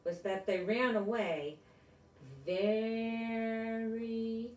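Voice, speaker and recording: An older woman reading a picture book aloud with chatty asides, explaining gently and simply as if to children. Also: fairly high pitch, steady tone, very slow. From United States. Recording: roomy echo, distant microphone.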